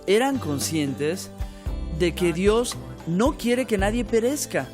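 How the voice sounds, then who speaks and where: Man, high-pitched, earnest testimony over faint music; grew up in Ecuador. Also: very animated, slow. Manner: earnest storytelling with preaching turns. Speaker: missionary's son telling his story. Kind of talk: documentary interview testimony.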